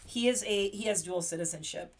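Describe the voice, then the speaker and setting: A woman hosting a casual makeup livestream, chatty and excitable, laughing often. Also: medium pitch, a little fast. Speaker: beauty livestream host.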